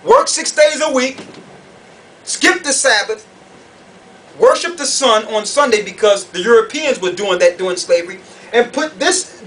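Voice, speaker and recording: Guy preaching hard at a conference, high voice, confrontational, asks lots of rhetorical questions, mic kinda far away. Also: fairly bright tone. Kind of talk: fiery doctrinal sermon to an audience. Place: conference room or hall. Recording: distant mic, noisy room ambience.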